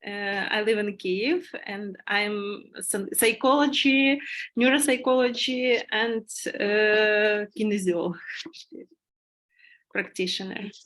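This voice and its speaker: Slow-speaking woman from Ukraine. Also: mid-range voice, fairly bright tone. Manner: halting, slow. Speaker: practitioner. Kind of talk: casual self-introduction.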